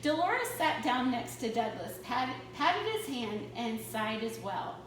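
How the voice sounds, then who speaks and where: Female children's storyteller: dramatic read-aloud, high and bright, unhurried, in a very echoey room. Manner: expressive, dramatic. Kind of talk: animated picture-book read-aloud. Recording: very echoey room, distant mic, faint noise.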